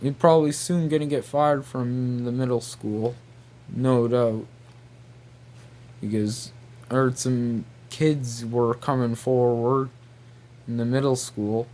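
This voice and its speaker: A man rambling casually in a halting, repetitive, incredulous way. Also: mid-range voice, fairly even intonation, darkish tone. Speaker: video creator.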